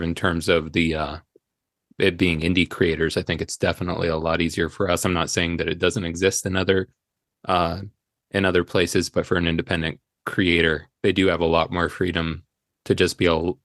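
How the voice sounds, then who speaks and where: Male podcast guest, deep register, reflective and conversational, recorded dry. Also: little pitch movement, normal speed, fairly bright tone, no echo. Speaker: podcast guest, indie audio drama creator. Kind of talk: reflective casual interview talk.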